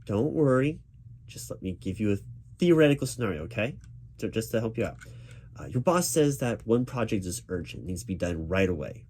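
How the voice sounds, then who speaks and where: A man with a fairly low voice speaks as a job interviewer in an ASMR roleplay. His pitch moves around a lot as he reassures, often repeating words haltingly. Soft keyboard typing sounds faintly behind him. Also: sing-song, slight background noise. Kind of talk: ASMR roleplay, spoken aloud rather than whispered. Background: computer keyboard typing.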